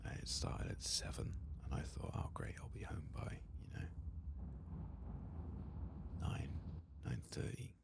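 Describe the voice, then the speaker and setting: A man narrating a game playthrough in a hushed, unhurried ASMR style, often slipping into a whisper. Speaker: host of a gaming ASMR channel. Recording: faint background noise.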